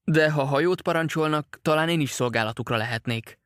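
A worried boatman's wife who chatters on without pause, as a male reader puts her on. She is pitched clearly higher and lighter than his own reading voice, fretful and rambling, and the dry, close sound has no room around it. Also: fairly bright tone, dry recording, close to an everyday voice. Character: worried talkative boatman's wife, middle-aged woman.

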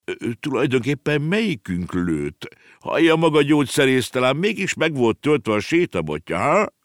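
A man's voice: medium pitch, big pitch swings, no room sound, clean studio sound, pitched above the performer's own voice, lightly acted. A man voicing a world-weary talking horse in a children's storybook, muttering and grumbling drily. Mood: relieved, quizzical.

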